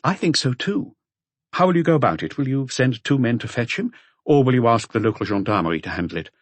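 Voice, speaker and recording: A man's voice: mid-range voice, lively intonation, quick pace, no room sound, voice pushed up, lightly acted. A man voicing a formal, inquisitive examining magistrate in an audiobook.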